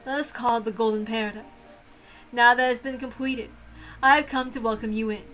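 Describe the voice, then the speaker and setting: Woman acting a grand witch, high bright voice, imperious, theatrical, possessive, formal visual novel delivery. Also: steady tone, brisk, faint music behind, lightly acted, low-quality recording. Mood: grand, inviting. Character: grand, possessive golden witch, lonely and insistent.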